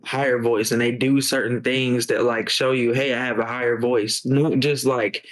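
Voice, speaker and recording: A man's voice: mid-range voice, flat delivery, normal speed, poor audio. A man in his twenties, a musician guest chatting casually on a podcast, laid-back and full of slang. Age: 20s. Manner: laid-back slang, says bro a lot.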